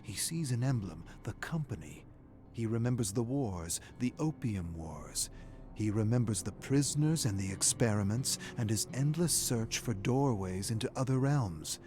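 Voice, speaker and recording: A man performing a brooding, ominous narrator of horror lore, reading gravely and deliberately in a dramatic style. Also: medium pitch, expressive, music in the background, close to an everyday voice.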